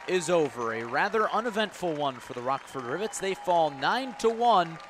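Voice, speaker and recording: Male baseball play-by-play announcer, slightly high-pitched, live radio commentary delivery. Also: very animated, moderate pace, a crowd in the background, background noise.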